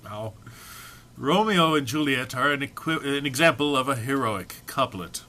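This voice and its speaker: Animated, bright-voiced man reading dramatically. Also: medium pitch, moderate pace, soft background music. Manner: theatrical, often breaking into amusement and stumbling. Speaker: amateur reader. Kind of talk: dramatic reading of a humorous text.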